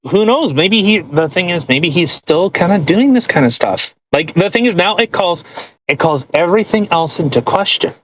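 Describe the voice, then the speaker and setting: A man's voice: sits high, lively intonation, normal speed, a bit bright, slight background noise, low-quality recording. A man co-hosting a podcast in casual chat, giving sarcastic, argumentative commentary on a post. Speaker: podcast co-host.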